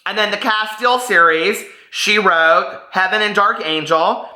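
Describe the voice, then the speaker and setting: An American man in his forties hosting a casual book vlog, talking in an animated, gossipy, emphatic way. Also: high voice, measured pace. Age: 40s. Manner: animated, gossipy, emphatic, often repeating himself for effect. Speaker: book vlogger and host. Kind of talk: casual chatty vlog with bits read aloud. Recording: roomy, echoing indoor sound.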